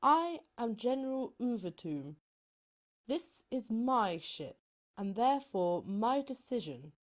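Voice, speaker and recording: A woman's voice: a little high, sing-song, slow pace. A woman playing a resolute, dignified starship general in a sci-fi fan roleplay, formal and authoritative. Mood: defiant. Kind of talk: scripted fan audio roleplay.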